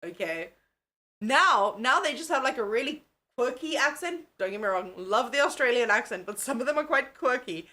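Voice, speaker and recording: A woman's voice: on the high side, animated, moderate pace, sharp tone. A young woman from South Africa with a mixed South African accent, chatting casually and giggling with viewers as a tired livestream host. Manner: giggly, chatty, swears freely. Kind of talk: casual live chat with viewers.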